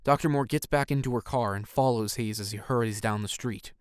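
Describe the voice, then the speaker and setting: A man's voice: mid-range voice, brisk, clear, resonant tone, no echo, clean studio sound, natural voice. A man narrating an audio drama, reading scripted scene action in the present tense.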